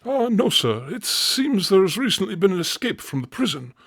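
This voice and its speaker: A man's voice: high-pitched, very animated, normal speed, gravelly, a bit bright, husky, dry recording, clean studio sound, exaggerated voice. A man playing a deferential, nervous servant of an English estate, formal and hesitant, in a radio drama.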